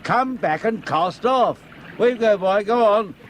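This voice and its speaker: A man's voice: sits high, lively intonation, unhurried. An actor playing a smug middle-aged male swindler who talks down to his partner as "dear boy". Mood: commanding. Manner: patronizing, says "dear boy". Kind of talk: 1970s radio drama acting. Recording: radio play with water noise.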